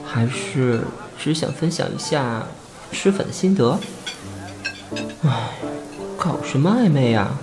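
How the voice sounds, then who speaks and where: A man's voice: medium pitch, expressive, takes it slowly, long pauses, music in the background. A man playing a naive, good-natured young man, blunt yet hesitant, in an amateur audio drama. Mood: wondering. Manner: hesitant, guileless. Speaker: amateur voice actor.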